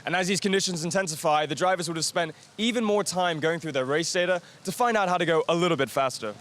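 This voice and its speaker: A man reading scripted narration for a motor racing highlights show. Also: fast talker, fairly bright tone.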